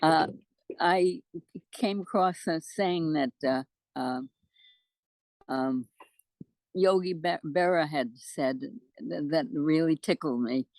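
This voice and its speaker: A woman in her nineties from the United States chatting casually as an interview guest, halting and good-humored. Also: low-pitched, a little mellow, dry recording, poor audio. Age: 90s. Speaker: interview guest, amateur postcard poet. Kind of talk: casual chat.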